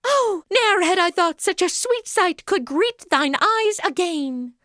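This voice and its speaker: A woman's voice: very high voice, animated, takes it slowly, fairly bright tone, dry recording, studio quality, full character voice. A woman playing a sarcastic modern wisecracker posing as a minstrel in a comedy audio drama, with casual slangy delivery.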